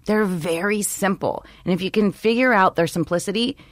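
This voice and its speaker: A woman comedian co-hosting a podcast in a studio, chatting in an animated, playful way with a low, husky voice, slipping into impressions. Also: moderate pace, no room sound. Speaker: comedian co-host. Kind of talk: casual chat. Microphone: studio microphone.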